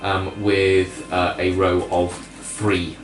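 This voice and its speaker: A man with a fairly low voice improvises as game master in a casual, halting style. He sits back from the mic in a roomy space, with music clearly playing behind him. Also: monotone, far from the mic. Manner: casual, halting, searching for words. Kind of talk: improvised tabletop game narration. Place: indoor room, group around a game table.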